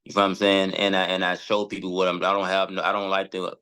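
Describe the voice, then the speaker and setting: Deep voiced dude on a podcast, casual, blunt, keeps checking you get what he means. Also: monotone, quick pace, sharp tone, clear, resonant tone. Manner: plain-spoken, blunt, checks in with listener. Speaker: podcast guest, comedian and producer. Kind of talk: casual chat.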